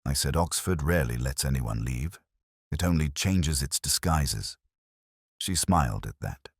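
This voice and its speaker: Male actor for melancholy older detective narrator: extremely deep voice, expressive intonation, measured and brooding, scripted crime drama. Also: slightly rough, no room sound, studio quality, exaggerated voice. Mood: somber, quiet. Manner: measured, literary, solemn. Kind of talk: scripted first-person detective story narration.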